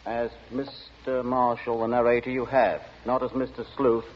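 An actor playing a droll, stubborn, deadpan director in 1940s radio acting style. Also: male voice, medium pitch, normal speed, a little hiss or hum, lightly acted. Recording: muffled, reverberant 1940 radio recording.

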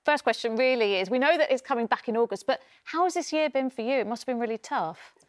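A woman's voice: a little high, animated, fast, no echo. A woman in her forties with a British accent, presenting and interviewing on broadcast news from a studio. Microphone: lapel mic.

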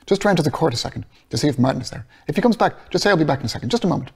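An earnest middle-aged man pleading his case, his voice climbing high as it heats up, as a male reader puts him on. The tone is gravelly and husky underneath, and the pitch swings all over. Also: lively intonation, very fast, heavily acted. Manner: impassioned, rising to pleas.

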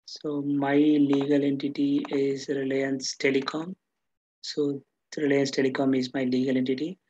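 A man talks through a software tutorial step by step in a flat, even voice of medium pitch. He is halting and often restarts his phrases. The recording is dull and lo-fi. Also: a little hiss or hum. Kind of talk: screen-share tutorial, unscripted step-by-step explanation.

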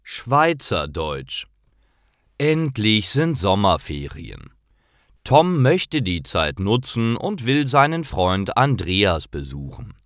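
A man narrates a simple story for language learners. His voice sits slightly low and moves with lively intonation, at a very unhurried pace in a dry recording. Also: dry recording. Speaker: narrator of German learning stories. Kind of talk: slow, clearly paced scripted story narration for learners.

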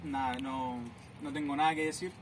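A young man giving low-key, mumbled, deadpan answers in a mock interview, recorded outdoors from a distance. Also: normal speed, background noise. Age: young adult. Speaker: interviewed player. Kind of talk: joking casual answers. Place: outdoors on a bench at a sports venue.

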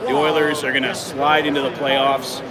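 A man's voice: medium pitch, level tone, moderate pace, rough recording. A man, casually commenting on a game as a fan, picked up by a companion's phone in a busy arena entrance. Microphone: phone held by companion, slightly off. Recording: very loud urban crowd and traffic noise.

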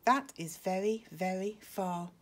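A woman voicing a big, gentle, affectionate adult male hare in a children's storybook, tender and playful. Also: mid-range voice, a little slow, natural voice.

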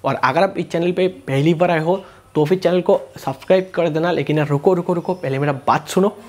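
A man's voice: on the high side, brisk, darkish tone. A man, hosting a tech tutorial and explaining step by step in a repetitive way. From India. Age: 20s. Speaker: YouTube tech and gaming tutorial host. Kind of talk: scripted tutorial to camera. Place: small indoor room. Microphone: lapel mic.

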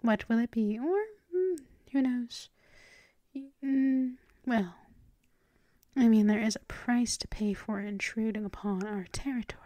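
Girl doing a dominant vampire mistress asmr, breathy slow whispering, teasing, soft dark tone, super close and dry. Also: a little high, no room sound. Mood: possessive, coaxing. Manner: slow, purring, lingering with hums. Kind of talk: ASMR roleplay, mostly whispered.

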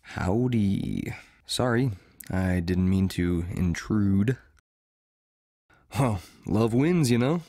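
A man's voice: fairly low pitch, lively intonation, very slow, long pauses, dark tone, dry recording, clean studio sound, exaggerated voice. A man playing a mild, apologetic, folksy college student in an audio drama. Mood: mild, hesitant. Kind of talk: podcast audio drama acting.

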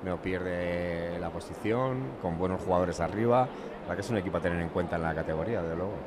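A man's voice: deep voice, brisk. A man giving conversational match analysis as a co-commentator. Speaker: football co-commentator. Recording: outdoor stadium crowd noise behind. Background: crowd noise.

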